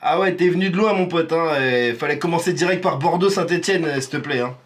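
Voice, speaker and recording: A man's voice: a little high, brisk. A man from Paris giving live reaction commentary on a rap battle, exclamatory and teasing. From Paris, France.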